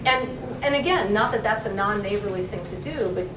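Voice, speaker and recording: A woman's voice: medium pitch, brisk. A female professor speaking at a library talk, earnest and searching for her words. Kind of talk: discussion in an academic talk. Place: room in a university library. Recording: echoey room, distant mic, background noise.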